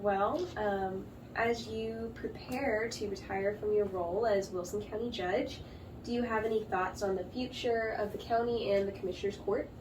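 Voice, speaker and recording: A woman's voice: medium pitch, steady tone, moderate pace, recorded from a distance, background noise. A woman hosting a local news show, presenting and asking questions in a scripted style.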